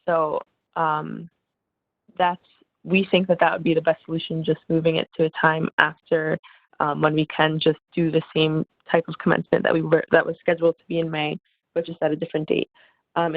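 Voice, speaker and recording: A woman in student government speaking in an online meeting, losing her voice, informal and halting with fillers. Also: sits low, vocal fry, no room sound. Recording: tinny phone-like call audio.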